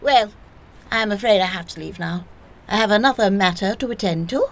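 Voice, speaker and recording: A woman playing an eccentric, theatrical nurse in an audio play, breezy even about grave matters. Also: medium pitch, a little fast, close to an everyday voice.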